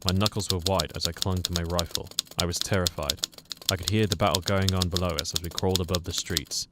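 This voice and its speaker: A man's voice: low voice, steady tone, quick pace, natural voice. A man playing a British war reporter, narrating his wartime memories in a radio drama. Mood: grave. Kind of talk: scripted first-person radio drama narration. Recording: very noisy track with clacking typewriter.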